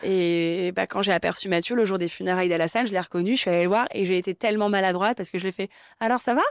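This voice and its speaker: A woman's voice: medium pitch, steady tone, racing, smooth, no echo, studio quality. Candid Parisian woman in her thirties. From Paris, France. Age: 30s. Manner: candid, conversational, many fillers. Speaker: interview guest. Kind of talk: candid personal interview.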